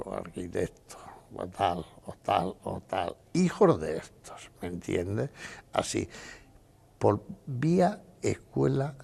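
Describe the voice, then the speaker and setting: A man's voice: low-pitched, vocal fry, a little mellow, breathy edge. A man in his sixties giving an interview in a casual, rambling way, often quoting himself and others. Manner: rambling, quotes himself with repeated "dice". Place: exhibition hall.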